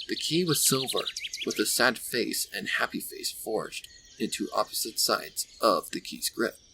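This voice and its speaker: Low-voiced man narrating a story. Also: pushed and bright. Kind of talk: scripted fan fiction story narration. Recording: faint background noise with birdsong.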